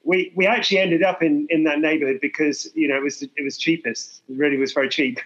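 A man in his forties from England talks candidly as an interview guest, rambling and self-deprecating, often restarting his sentences. His voice sits a little high. The recording is dull and lo-fi. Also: steady tone, fast talker, fairly bright tone. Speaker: guest artist.

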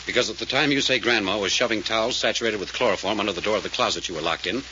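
Old radio detective, quick and forceful, sure of himself, muffled noisy recording. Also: male voice, fairly low pitch. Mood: firm, certain. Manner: firm, authoritative, explaining. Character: brisk, decisive male detective, grimly certain. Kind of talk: 1940s radio mystery acting.

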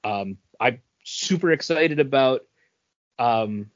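A man co-hosting a podcast, talking casually and earnestly as a fan, with pauses and emphatic praise. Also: mid-range voice, animated, muffled recording. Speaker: podcast co-host. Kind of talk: casual geek-culture panel chat.

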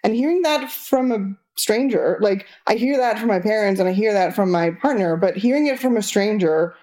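A young woman from Argentina, with Spanish as her first language, speaks openly in a casual interview. She pads her sentences with "like" and her voice jumps higher when she gets exasperated. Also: moderate pace. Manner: candid, many "like" fillers, lively rises when exasperated. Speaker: interview guest.

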